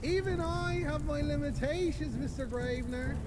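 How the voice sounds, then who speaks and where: A man's voice: very high-pitched, normal speed, very noisy. A man playing a foppish, sneering and cowardly vampire captive in a video game cutscene, with an affected, prissy manner. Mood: snide, nervous.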